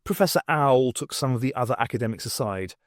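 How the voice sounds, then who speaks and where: A man's voice: medium pitch, animated, fast talker, no room sound, clean studio sound. A man narrating a scripted story as an audiobook reader.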